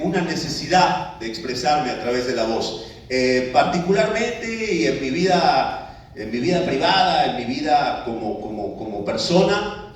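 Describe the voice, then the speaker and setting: A man giving a live talk to an audience, in a conversational way with many filler words and restarts. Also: fairly high pitch. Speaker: speaker addressing an audience. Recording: echoey room, picked up from a distance.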